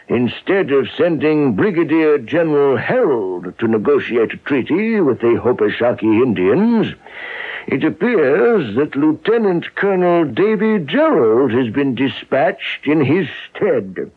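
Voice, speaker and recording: A pompous, exasperated general in a radio play. He dictates slowly and formally, with sweeping intonation. The sound is a tinny old broadcast. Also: fairly high pitch, breathy edge, full character voice. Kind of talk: 1970s radio drama acting.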